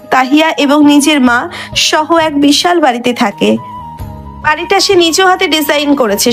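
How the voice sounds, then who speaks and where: A woman narrator reading a scripted dramatic story. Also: high voice. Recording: background music under the voice.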